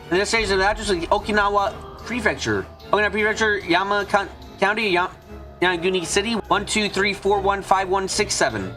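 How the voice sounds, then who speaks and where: A man's voice: high-pitched, fairly even intonation, quick pace, pushed and bright, soft background music. A man reading scripted visual novel narration as a fan dub streamer.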